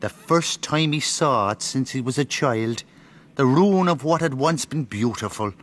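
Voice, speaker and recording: An Irish country narrator in a radio drama. His voice sits at an ordinary male pitch. He tells the tale colloquially and lyrically, with a loyal, wry air. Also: animated, unhurried. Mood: vivid, storytelling. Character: Irish peasant storyteller, wry and loyal brother.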